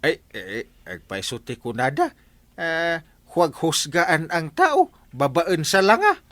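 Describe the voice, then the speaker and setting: Actor as an excitable, nosy Ilocano village buddy. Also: male voice, a little high, slow pace, no echo, exaggerated voice. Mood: curious. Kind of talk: Ilocano radio comedy drama dialogue.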